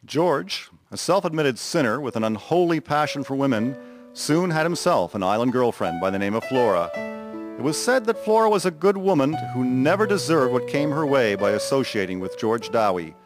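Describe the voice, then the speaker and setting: Male narrator for historical radio drama, medium pitch, guitar backing. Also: animated, normal speed. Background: plucked guitar music.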